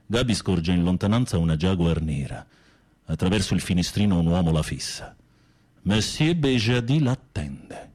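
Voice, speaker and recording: A deep, husky, wistful male prisoner. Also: expressive, moderate pace, a little mellow. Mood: suspenseful storytelling. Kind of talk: radio drama acting.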